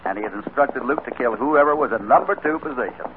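Guy playing a wry easygoing cowboy hero, normal pitch, hissy old radio western. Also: quick pace, lightly acted. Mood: confident, explaining. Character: steady, good-natured cowboy hero, confident and wry. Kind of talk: 1940s western radio acting. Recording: noisy, tinny old radio recording.

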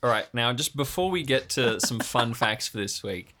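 A man's voice: medium pitch, lively intonation, normal speed, pushed and bright. A man casually hosting a role-play podcast with dry, teasing humour. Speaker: podcast host, game master.

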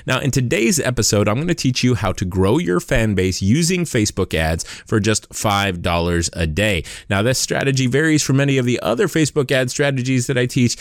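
A man's voice: mid-range voice, lively intonation, brisk, few pauses, no echo, clean studio sound. A man hosting a music marketing podcast, talking in an upbeat, conversational way with frequent fillers. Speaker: podcast host.

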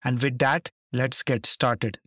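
A man's voice: medium pitch, steady tone, slow, sharp tone, dry recording, studio quality. A man hosting a podcast, reading a scripted, emphatic intro and then interviewing a guest. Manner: emphatic, repeats key phrases. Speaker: podcast host.

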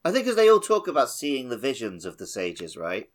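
A man's voice: mid-range voice, huge pitch swings, fairly quick. A man co-hosting a gaming podcast in a casual, colloquial way. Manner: informal, colloquial. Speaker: podcast co-host.

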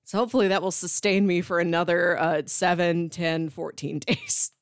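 A woman's voice: lowish voice, fairly even intonation, moderate pace, dry recording, clean studio sound. A woman in her thirties co-hosting a podcast, talking casually about movies. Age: 30s. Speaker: podcast co-host.

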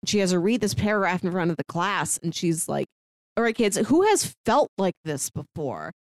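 A woman's voice: on the low side, very animated, moderate pace, no echo, clean studio sound. A woman co-hosting a podcast, casually discussing films and acting out lines as she retells. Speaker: podcast co-host.

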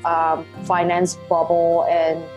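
A woman's voice: lowish voice, takes it slowly, highs cut off, soft background music. Woman with a Hong Kong accent. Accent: Hong Kong English. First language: Cantonese. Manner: animated, laughs often, non-native phrasing. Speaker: guest, life coach. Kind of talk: podcast interview.

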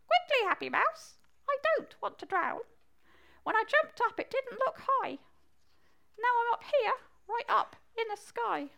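A woman's voice: big pitch swings, normal speed, a bit bright, exaggerated voice. A woman voicing a small, eager, curious frog in a rhyming children's story. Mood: panicked. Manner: squeaky, exclaiming.

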